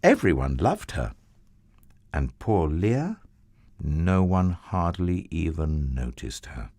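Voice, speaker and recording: A man narrates a Bible story for children in a fairly low voice. His pitch swings dramatically, and he draws out key words for theatrical emphasis. The delivery is unhurried and expressive, recorded very dry. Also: no room sound.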